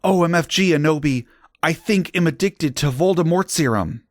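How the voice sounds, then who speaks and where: A man's voice: a little high, animated, moderate pace, dry recording, studio quality, voice pushed up, natural voice. A man voicing a dramatic, easily shocked teenage goth girl in a story reading, with exclamatory delivery and mangled slang. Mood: dramatic alarm. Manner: exclamatory, mispronounced slangy words.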